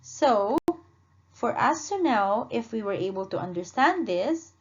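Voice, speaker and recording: A woman teaching a lesson, speaking slowly, repeating words and correcting herself as she asks the class questions. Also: on the high side, animated, a little hiss or hum. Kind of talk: classroom lesson with read-aloud dialogue. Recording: dull lo-fi sound.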